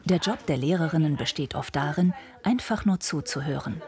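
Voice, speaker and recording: A woman reading a scripted news report in a calm, measured way. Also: sits low. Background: music bed.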